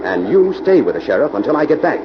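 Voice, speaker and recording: An American man playing a composed, authoritative investigator in 1940s radio drama style, speaking deliberately. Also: mid-range voice, big pitch swings, natural voice. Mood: composed, probing. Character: sharp, composed male investigator, the Avenger. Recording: noisy, dull old radio recording.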